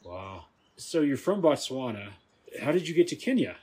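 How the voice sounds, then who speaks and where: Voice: mid-range voice, sing-song, moderate pace, a little mellow, breathy edge. An interviewer asking casual questions and giving short encouraging replies.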